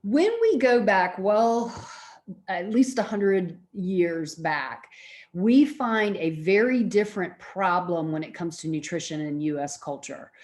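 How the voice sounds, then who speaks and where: Lower-pitched woman lecturing conversationally. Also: expressive, takes it slowly, a little mellow. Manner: conversational, explanatory. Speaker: college psychology lecturer. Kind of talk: informal lecture to students.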